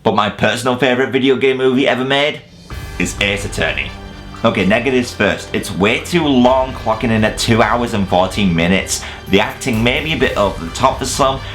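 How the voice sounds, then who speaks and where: A man's voice: mid-range voice, brisk, a bit bright, faint music behind. A man giving a casual, opinionated scripted video review, emphatic and sweary with self-deprecating humour. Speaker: video reviewer presenting a top ten list.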